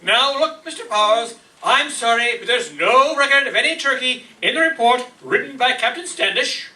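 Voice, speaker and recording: A man's voice: very high-pitched, lively intonation, moderate pace, pushed and bright, roomy sound, heavily acted. A man playing an earnest, self-pleased husband with an eager, polite manner in a radio comedy play. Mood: polite, flustered. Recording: noisy, distant stage microphone.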